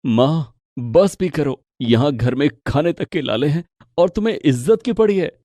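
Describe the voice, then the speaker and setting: A man's voice: on the high side, expressive, normal speed, mellow, no echo. A man playing an earnest, supportive young husband in a dramatic moral story. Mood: reproachful.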